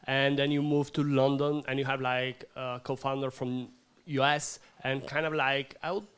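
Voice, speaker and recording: A man's voice: medium pitch, flat delivery, moderate pace, fairly bright tone, smooth. A man in the audience asking a long, rambling question, leaning on "like" and "kind of". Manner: rambling, hedging with "like".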